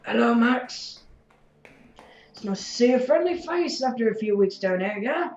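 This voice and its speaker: A woman's voice: on the high side, lively intonation, unhurried, a little mellow. A woman playing a dying prisoner, weak and coughing, wry and weary, in an audio drama. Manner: sardonic, weary. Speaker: voice actor. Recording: echoey, dull, faint music behind.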